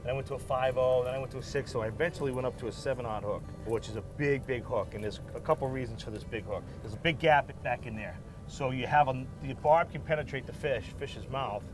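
A middle-aged man from Rhode Island, a charter captain, talking plainly about his lures with halting restarts on a boat at sea. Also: medium pitch, music in the background. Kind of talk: casual conversation. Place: small open fishing boat at sea.

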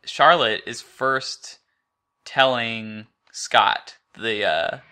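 Bright, sharp-voiced man chatting about film. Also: medium pitch, drawn-out words, clear, resonant tone. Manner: informal, rambling, frequent "like". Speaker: podcast co-host. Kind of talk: casual film discussion, recapping a story.

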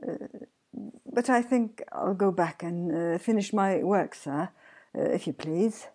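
A nervous gravedigger acted by a woman from a storybook, stammering and deferential. He hesitates through his words in a mellow, grovelling plea. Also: fairly low pitch, slow. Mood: nervous deference. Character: frightened, grovelling male gravedigger, grim and nervous. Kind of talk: dramatic storybook reading.

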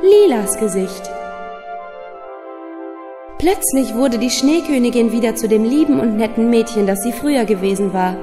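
A woman's voice: a little high, lively intonation, normal speed, pauses often, long reverb tail. A woman narrating a scripted fairy tale for children as a storyteller. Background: music.

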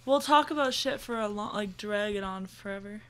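Bright, blunt young woman. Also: mid-range voice, normal speed, clear, resonant tone. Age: young adult. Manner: blunt, swears freely. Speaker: podcast co-host. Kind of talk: casual chat. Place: home living room. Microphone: studio mic on stand.

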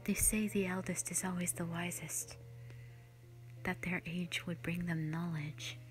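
A woman voicing a gentle, protective elder dragon in a slow, solemn, whispered audio roleplay monologue, full of sorrow. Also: on the low side, steady tone, lightly acted, rough recording. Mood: sorrowful, brooding. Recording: music behind the voice.

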